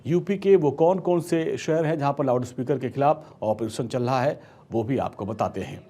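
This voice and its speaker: Male news anchor, mid-pitched, slightly husky voice, emphatic scripted narration. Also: moderate pace, mellow.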